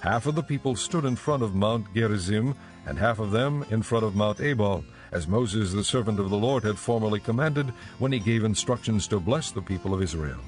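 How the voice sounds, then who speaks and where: Solemn guy narrating scripture, measured, lowish voice, soft music behind. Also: lively intonation. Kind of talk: scripted narration of a dramatized audio Bible.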